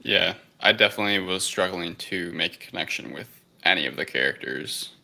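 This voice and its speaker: Very deep, gravelly, bright-edged male voice. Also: brisk. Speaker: podcast co-host. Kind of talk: casual film review discussion.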